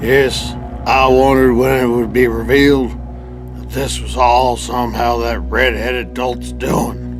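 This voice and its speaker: A stern, wealthy father in a radio drama, as a woman drops her voice low to put him on. He talks in a dry, disdainful way. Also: flat delivery, slow pace, music in the background.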